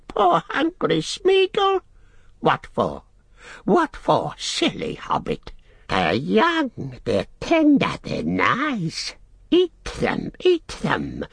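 A man voicing a wretched, wheedling creature with a thin, hissing, raspy voice, whining and repeating words in an audiobook. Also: extremely high pitch, huge pitch swings, extremely slow, dry recording, voice pushed up, exaggerated voice. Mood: dismayed pleading. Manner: repeats words, whining, speaks of self in third person.

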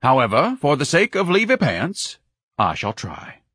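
A man's voice: a little high, huge pitch swings, measured pace, a bit bright, husky, no room sound, clean studio sound, voice pushed up, full character voice. A man voicing an obese, pompous, indignant man who lectures and complains melodramatically in an audiobook. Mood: pompous complaint.

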